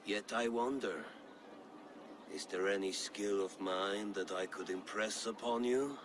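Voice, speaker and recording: Slow-talking, bumbling Viking flirt played by a man. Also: lowish voice, steady tone, noisy background, close to an everyday voice. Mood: flirtatious. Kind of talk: comic game cutscene acting.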